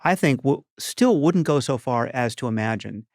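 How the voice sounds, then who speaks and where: A man speaking in a measured, halting way in a discussion clip, with frequent restarts. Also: mid-range voice, animated, a little mellow, dry recording, clean studio sound.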